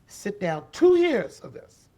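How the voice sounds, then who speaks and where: A woman in her forties with a deep, raspy voice, telling her story as a podcast guest in an animated, emphatic way and repeating key phrases. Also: slow. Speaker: podcast guest, special education advocate. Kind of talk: conversational interview storytelling.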